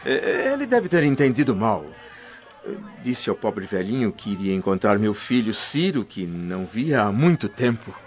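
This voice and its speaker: A man's voice: lowish voice, very animated, moderate pace. An actor playing a humble, guarded male Roman freedman in a radio drama, polite, formal and evasive. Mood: nervously evasive. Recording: dull lo-fi radio drama recording.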